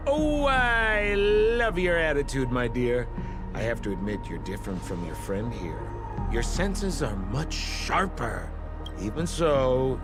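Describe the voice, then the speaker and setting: Theatrical taunting villain, swooping pitch. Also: male voice. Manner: theatrical, teasing, drawn-out phrasing. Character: flamboyant, mocking villain toying with others. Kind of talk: video game voice acting.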